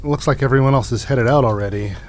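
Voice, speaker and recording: A man plays a mild small-town doctor in an audio comedy. He talks quickly at a middling pitch, over light background noise. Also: close to an everyday voice. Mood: kind, subdued. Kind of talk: comedic audio drama acting. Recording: noticeable background ambience noise.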